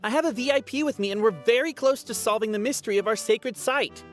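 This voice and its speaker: An actress playing an earnest, steady young companion character in a video game. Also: female voice, on the high side, fast talker, soft background music, natural voice. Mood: firm, earnest.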